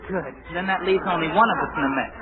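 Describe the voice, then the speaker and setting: A man's voice: sits high, fast talker, lightly acted. Man playing a wry schoolboy reporter, tinny old radio. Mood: dry, exasperated. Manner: polite, dry, wry. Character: schoolboy reporter, polite but drily exasperated. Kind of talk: 1940s radio comedy acting. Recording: noisy band-limited 1940s radio recording.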